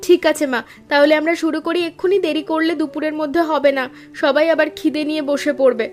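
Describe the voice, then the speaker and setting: A woman's voice: high-pitched, fairly even intonation, fast, soft background music, lightly acted. A young woman voicing a sensible, traditional elder daughter-in-law in a cartoon, speaking politely and eagerly. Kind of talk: cartoon voice acting.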